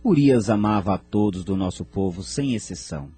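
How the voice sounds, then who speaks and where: Man playing a deep, husky wise sage. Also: lively intonation, mellow, faint music behind. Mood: grave, explaining. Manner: measured, explanatory. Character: wise, grave male keeper of his people's history. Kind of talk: audio drama acting.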